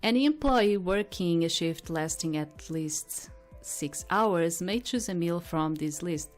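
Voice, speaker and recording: A woman with reading a polite, considerate male restaurant boss in a visual novel, calm and formally instructive. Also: fairly low pitch, takes it slowly, faint music behind. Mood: kind, formal.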